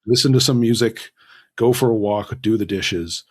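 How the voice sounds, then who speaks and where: Man, lowish pitch, rough-edged husky tone, reflective, halting, casual interview talk. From Southern California, United States. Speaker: podcast guest, author. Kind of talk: conversational interview advice.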